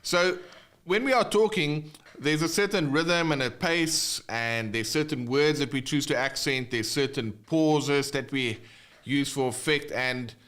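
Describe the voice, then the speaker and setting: A man's voice: on the high side, unhurried, a bit bright. A man with a South African accent who speaks English as a second language, hosting a casual live guitar lesson and chatting with viewers. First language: not English (English is second language). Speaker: guitar teacher, livestream host.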